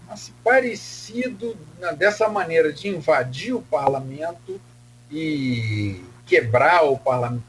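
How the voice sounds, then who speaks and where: Brazilian guy in his 70s, historian on a radio interview, slow careful answers, a bit of faint hum behind. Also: fairly high pitch, poor audio. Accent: Brazilian Portuguese. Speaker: historian guest.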